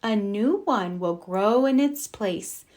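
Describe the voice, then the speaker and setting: A woman voicing a calm, reassuring mother pig in a storybook, explaining things patiently. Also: medium pitch, slow.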